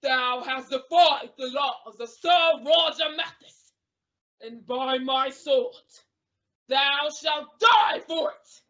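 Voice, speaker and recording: A woman's voice: sits high, slow pace, fairly bright tone. A woman playing the haughty, vengeful ghost of an old knight in a radio mystery, declaiming in archaic, menacing style. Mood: vengeful menace. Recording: dull lo-fi radio play recording.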